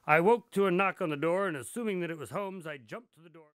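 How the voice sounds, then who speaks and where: A man's voice: high voice, quick pace, heavy background noise, lightly acted. A man playing a genial but easily exasperated doctor and storyteller in a radio drama, courteous and conversational. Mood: teasing, reflective.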